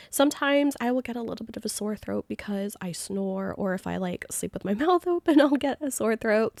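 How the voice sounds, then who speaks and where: A woman's voice: medium pitch, a little mellow, no echo. Young woman vlogger, casual storytime, lots of fillers, dry close sound. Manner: rambling, heavy on "like" fillers, light laughs. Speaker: planner vlogger.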